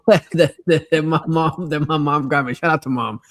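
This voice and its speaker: A man's voice: fairly high pitch, fairly even intonation, a little slow, a bit bright, dry recording, studio quality. A middle-aged American man from Miami chatting casually and nostalgically in slang as a livestream host. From Miami, United States. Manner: slangy, conversational. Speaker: livestream host reading viewer comments.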